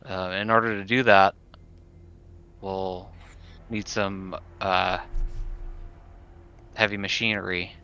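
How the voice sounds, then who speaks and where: Man, slow halting delivery with a forceful edge, casual roleplaying gamer, lo-fi sound. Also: low-pitched, little pitch movement, clear, resonant tone. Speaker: tabletop game player. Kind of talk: casual, improvised roleplay talk. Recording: dull, lo-fi audio.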